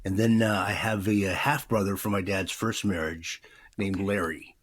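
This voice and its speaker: A man's voice: sits low, fairly even intonation, normal speed, slightly rough. A man in his fifties, a former radio DJ, chatting in an interview in a rambling way with many fillers. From Pacific Northwest, USA. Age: 50s. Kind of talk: casual interview chat.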